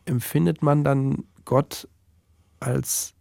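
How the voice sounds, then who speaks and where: A man interviewing, haltingly and insistently repeating his probing questions. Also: mid-range voice, fairly even intonation, gravelly, darkish tone, no room sound, studio quality.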